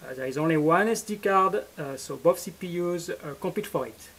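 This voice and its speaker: A man narrating a technical electronics demo as its presenter, in a halting, matter-of-fact way. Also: fairly high pitch, lively intonation, gravelly, a bit bright.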